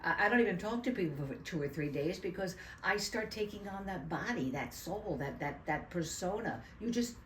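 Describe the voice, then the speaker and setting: An older actress from New York tells animated stories about her roles in a casual interview, with laughing asides. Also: mid-range voice, moderate pace, hardly any pauses, a little hiss or hum. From USA (New York). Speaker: guest actress. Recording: roomy echo.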